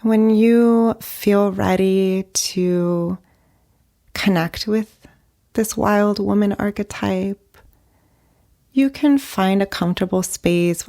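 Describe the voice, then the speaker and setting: A woman leading a guided meditation in a soft, gentle, unhurried way, speaking in short phrases with long pauses. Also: medium pitch. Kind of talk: guided meditation, slow and soothing narration.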